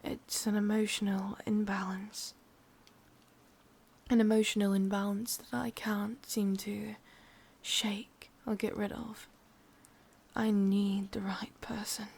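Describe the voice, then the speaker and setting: Breathy, whispering English witch, played by a woman. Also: medium pitch, level tone, darkish tone, heavily acted. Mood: lonely, yearning. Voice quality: breathy, often slipping into whisper. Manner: slow, halting, drawn-out delivery with pauses. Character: ancient, lonely, sadistic witch, possessive and menacing. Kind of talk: ASMR roleplay, intimate and half-whispered.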